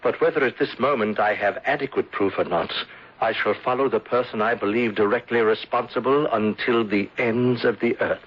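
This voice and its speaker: A husky, mid-pitched voice of a lovestruck man of thirty bent on revenge acted by a man. He is earnest, brooding and intense, in radio melodrama style. The recording is dull and lo-fi. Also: normal speed, natural voice. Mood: grim resolve. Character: lovestruck, vengeful thirty-year-old English insurance man. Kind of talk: melodramatic radio drama acting.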